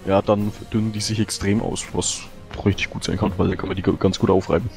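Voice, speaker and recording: Low-voiced eager player. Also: male voice, flat delivery, fast talker, vocal fry, darkish tone, faint music behind. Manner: eager, repeats words, restarts. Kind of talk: strategy discussion.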